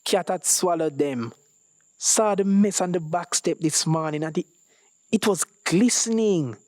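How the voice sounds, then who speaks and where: An excitable young schoolboy from an audio short story, acted out by a man reading aloud. He is playful and curious, full of questions and exclamations. The pitch lifts high, and the sound is dry with almost no room. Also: measured pace, no room sound. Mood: playfully disgusted.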